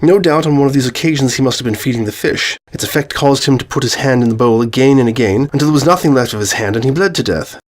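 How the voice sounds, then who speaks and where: A man's voice: medium pitch, fast talker, hardly any pauses, natural voice. A man voicing a self-assured, theatrical detective with formal, declamatory delivery in a narrated story. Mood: confident.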